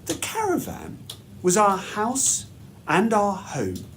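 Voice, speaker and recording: A man reads a children's story aloud in a measured, deliberate, expressive way, like a headmaster at storytime. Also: sits high, a little hiss or hum.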